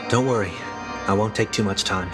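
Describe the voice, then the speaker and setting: An actor playing an elegant, secretive head of a family in a story game, speaking formally with theatrical, contemplative melancholy. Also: male voice, fairly low pitch, a little fast, over loud music, lightly acted. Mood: wistful, composed. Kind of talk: video game story acting.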